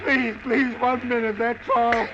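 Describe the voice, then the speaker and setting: A worn-out straggler in a 1940s radio drama, his voice pitched very high and strained as he begs the others to wait. He drags his words out slowly. The old broadcast sounds muffled and hissy. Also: little pitch movement. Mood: pleading. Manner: pleading, desperate, shouting from afar. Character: exhausted man begging not to be left.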